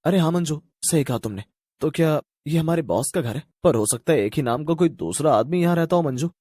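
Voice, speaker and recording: A man's voice: a little high, expressive, fairly quick, dark tone, slightly rough, no echo, clean studio sound. A man playing a worried young husband in a cartoon story, talking earnestly. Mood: puzzled. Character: worried young husband working at a pizza shop. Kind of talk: animated cartoon story voice acting.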